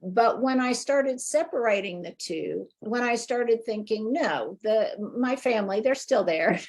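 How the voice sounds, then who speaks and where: A woman's voice: a little high, lively intonation, measured pace, a little mellow. A middle-aged woman, a garden designer, speaking as a podcast guest in a thoughtful, candid way and picking up speed as she explains. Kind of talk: reflective interview conversation.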